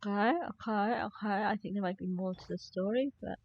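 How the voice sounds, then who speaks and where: A woman's voice: mid-range voice, brisk. A woman giving casual live gameplay commentary, chatty and informal, often muttering reactions to herself. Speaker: game streamer. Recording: slightly dull stream mic.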